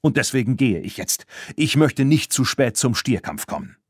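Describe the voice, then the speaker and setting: A man's voice: medium pitch, very animated, moderate pace, breathy edge, dry recording, clean studio sound, pitched above the performer's own voice. A man voicing a smug, brazen horse dealer with mock politeness in a children's audiobook. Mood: sullen denial. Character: smug, slippery male horse dealer, defiant and insincere.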